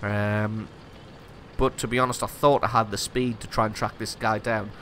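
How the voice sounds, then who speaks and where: A man with a bright, forceful voice and a stuffy, congested nose from a cold talks through his own match as host of a gaming channel. He explains his moves in a casual, self-deprecating way. A faint hiss sits under his voice. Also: fairly low pitch, flat delivery, normal speed. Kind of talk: gameplay commentary.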